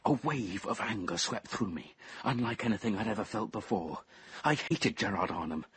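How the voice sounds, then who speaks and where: An actor playing a brooding, obsessive young man who narrates his confession in measured, intense tones, at times near a whisper. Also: on the low side, animated, a little hiss or hum, close to an everyday voice. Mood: bitter. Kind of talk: radio drama first-person narration.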